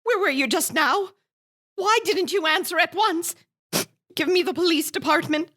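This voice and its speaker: A woman's voice: very high-pitched, normal speed, bright, forceful tone, a little hiss or hum. A woman playing a frantic, demanding, nervous wife in a melodramatic radio thriller, imperious and theatrical. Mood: anxious, agitated. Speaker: actor in a volunteer radio drama.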